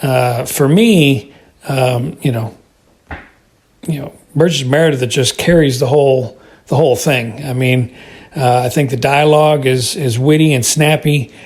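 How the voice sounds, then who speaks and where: A middle-aged or older American man giving a casual spoken review, hesitating and repeating words as he rambles. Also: fairly high pitch. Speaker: listener calling in with feedback.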